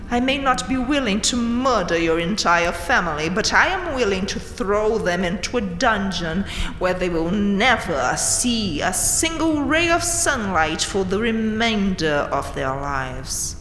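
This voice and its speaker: A woman playing a haughty, mocking vampire queen in a dramatic audio roleplay, taunting with theatrical mock sweetness and seductive menace. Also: mid-range voice. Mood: menacing. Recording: roomy, long hall-like reverb. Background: dark castle ambience music.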